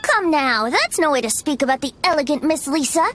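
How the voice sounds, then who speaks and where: A woman's voice: high-pitched, lively intonation, a little fast, fairly bright tone, slight background noise. A woman voicing a playful, teasing young male bard in a video game, light and boyish with a breezy theatrical manner. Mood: breezy explaining. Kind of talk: video game character acting. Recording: music behind the voice.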